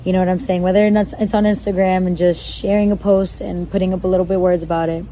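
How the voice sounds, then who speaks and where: A young woman guest on a podcast, talking loudly and casually with lots of slang and "you know what I'm saying.". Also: on the low side, level tone, fast, slight background noise, low-quality recording. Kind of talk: casual conversational interview. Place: outdoor gazebo with crickets.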